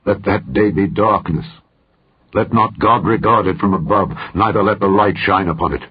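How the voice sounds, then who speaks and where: On a thin, phone-like recording, a man reads as an anguished man grieving over his own life. The voice is low and husky. The lament is solemn and declamatory, in the formal language of an audio scripture. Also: normal speed. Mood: grave, cursing. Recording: tinny, phone-like narrow-band recording.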